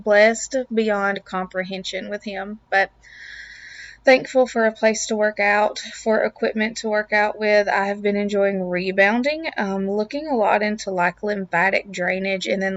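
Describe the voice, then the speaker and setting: A young woman with a raspy voice and a lingering cough, talking in an upbeat, earnest way as a casual vlog voiceover about home and family. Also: medium pitch, dry recording, lo-fi sound. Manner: upbeat, earnest, faith-filled. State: lingering cough, throat clearing. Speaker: lifestyle vlogger, mom of two.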